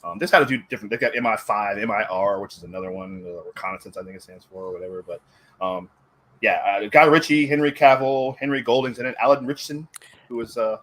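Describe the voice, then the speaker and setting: A man's voice: lowish voice, fast, a bit bright. A man chatting casually as a panelist on a movie talk show, speaking in an enthusiastic, digressive way. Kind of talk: casual rambling chat.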